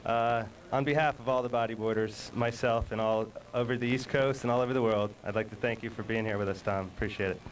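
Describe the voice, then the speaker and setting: A man's voice: mid-range voice, steady tone, quick pace, few pauses, far from the mic, slight background noise, rough recording. A man hosting an interview show, chatty and upbeat. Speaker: host.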